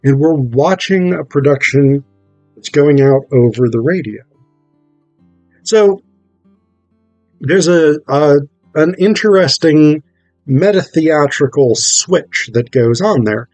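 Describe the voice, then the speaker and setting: A man's voice: a little high, lively intonation, darkish tone, no room sound, faint music behind, rough recording. Man lecturing informally, getting over a cold. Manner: halting, thinking aloud with repeated rhetorical check-ins. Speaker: theatre performer explaining a play.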